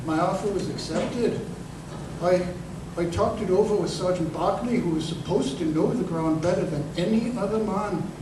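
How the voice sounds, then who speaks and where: A man playing an embittered working-class ex-corporal in an old-time radio drama, speaking haltingly and emphatically. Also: high voice, close to an everyday voice. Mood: wistful, bitter recollection. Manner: halting, repeats words, emphatic. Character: embittered crippled ex-corporal recalling his betrayal, earnest and working-class. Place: live stage performance in a museum. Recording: echoey live performance, distant mic, noisy room.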